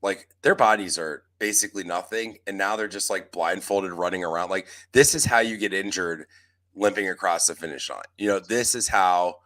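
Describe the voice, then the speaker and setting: Male comedian co-host, low and bright, big emphatic voice, exasperated riffing. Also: normal speed. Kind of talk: casual joking recap chat.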